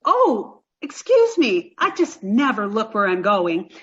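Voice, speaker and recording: A woman's voice: mid-range voice, wildly swooping pitch, slow pace, a bit bright, muffled recording, heavily acted. A woman playing a dim-witted, sweet, scatterbrained young woman in a comic old-time radio play, gushing and literal-minded. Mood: flustered. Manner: naive, scatterbrained, gushing, takes everything literally.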